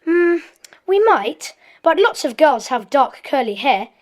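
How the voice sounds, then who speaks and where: A very high, childlike voice in a children's audiobook drama. The figure is a cheerful schoolchild, one of a secret club of friends. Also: very animated, measured pace. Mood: matter-of-fact.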